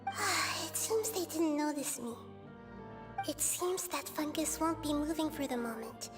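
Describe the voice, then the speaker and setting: Gentle child goddess,, high soft voice, calm, curious, wise, game dialogue over music. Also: female voice, unhurried. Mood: cautious. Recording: loud music under the voice.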